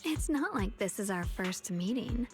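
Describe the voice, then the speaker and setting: A woman's voice: mid-range voice, lively intonation, close to an everyday voice. Woman acting a menacing, teasing swordswoman alter ego over music. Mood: teasing menace. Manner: assured, taunting, deliberate. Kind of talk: dramatic video game voice acting.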